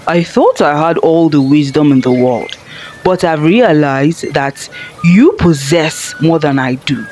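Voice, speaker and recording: A woman's voice: low-pitched, a little slow, darkish tone, soft background music, pitched below the performer's own voice. A woman voicing a proud, bitter, angry father in a folktale, with an indignant, dramatic delivery. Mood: bitter, astonished. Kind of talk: folktale storybook character voice.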